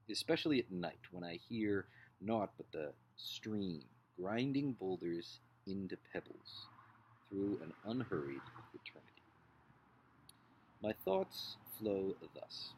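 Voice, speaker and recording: A man playing an earnest, idealistic upper-class nineteenth-century gentleman in a dramatic book reading, solemn, deliberate and oratorical. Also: sits low, slight background noise, low-quality recording. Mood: reflective.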